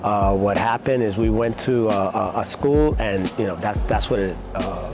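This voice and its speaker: A middle-aged man, talking casually and haltingly in an interview as a martial arts instructor, with many pauses. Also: on the low side, over music. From Puerto Rico-born, raised in New York City.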